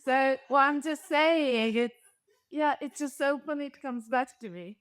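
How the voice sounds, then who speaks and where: A woman's voice: high voice, a little slow, dry recording, studio quality. A woman taking part in a panel discussion, speaking casually and conversationally. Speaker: panel participant.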